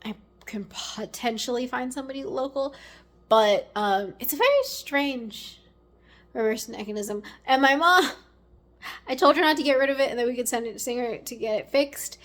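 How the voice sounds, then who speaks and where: A young woman talks to her camera at home, thinking aloud and rambling through fillers, now and then slipping into a whisper. Her voice sits a bit high and carries a slight rasp. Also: lively intonation, normal speed. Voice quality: a little raspy. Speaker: vlogger. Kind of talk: casual chat to camera.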